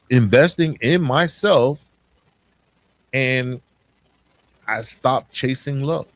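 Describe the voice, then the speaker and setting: A man hosting a podcast, speaking to listeners in an emphatic, conversational motivational monologue. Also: mid-range voice. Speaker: podcast host.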